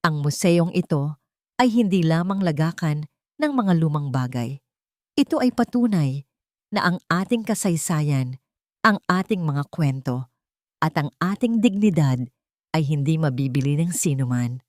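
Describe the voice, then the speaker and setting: A woman's voice: low voice, unhurried, pauses often, no room sound, studio quality. A woman storyteller voicing a dignified, resolute mother and former housemaid, speaking firmly and steadily. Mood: confident, proud. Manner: firm, steady.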